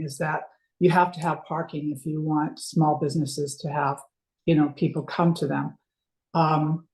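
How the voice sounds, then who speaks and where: Halting woman asking a question. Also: on the low side, darkish tone, low-quality recording. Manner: tentative, halting, rephrasing. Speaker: audience member asking a question. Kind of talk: spoken webinar question.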